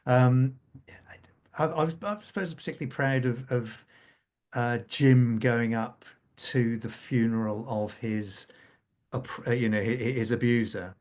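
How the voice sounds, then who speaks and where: A man speaking as a scriptwriter on a panel, reflective and halting, repeating phrases as he thinks aloud. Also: mid-range voice, fairly even intonation, a little mellow. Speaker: scriptwriter for a radio drama serial.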